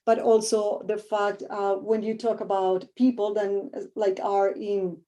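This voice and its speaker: A woman's voice: medium pitch, little pitch movement, measured pace, mellow. A middle-aged woman with a Colombian Spanish accent, a professor answering interview questions thoughtfully and searching for words with many fillers.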